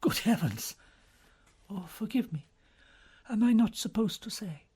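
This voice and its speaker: A man plays a devout, gentle cardinal in an audiobook novel. The voice is breathy and soft-spoken, with polite, formal phrasing. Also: high voice, lively intonation, measured pace, pitched above the performer's own voice, full character voice. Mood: respectful.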